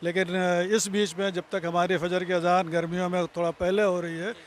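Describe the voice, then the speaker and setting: An older man with a high-pitched, even voice, making a formal press statement. There is faint street noise around him. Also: normal speed. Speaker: community religious representative.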